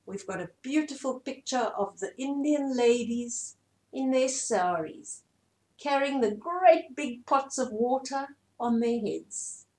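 A woman's voice: on the high side, expressive, takes it slowly, a little mellow. A middle-aged woman hosting storytime, reading and explaining a children's story expressively to small children. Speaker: storytime host. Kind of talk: read-aloud children's bedtime story. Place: small furnished room indoors.

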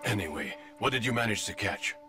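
An actor playing a middle-aged intelligence colonel in a video game cutscene, briefing in a clipped, urgent manner. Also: male voice, on the low side, lively intonation, a little fast, over loud music, close to an everyday voice.

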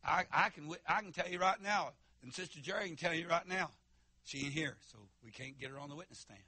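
A man preaching a fiery holiness sermon, with stammering restarts and shouts to the congregation. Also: on the high side, a little fast. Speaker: pastor. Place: church sanctuary.

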